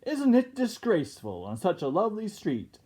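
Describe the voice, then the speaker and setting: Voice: mid-range voice, wildly swooping pitch, normal speed, warm tone, breathy edge, pitched above the performer's own voice. A storyteller voicing indignant, gossiping storybook neighbours with emphatic, scandalised complaining. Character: indignant, gossiping neighbours fussing about pirates.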